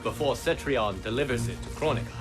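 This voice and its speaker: An actor playing an earnest, humble guardian leader in a video game, measured and solemn. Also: male voice, mid-range voice, close to an everyday voice. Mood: solemn, reassuring. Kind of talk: dramatic video game voice acting. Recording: loud music over the voice.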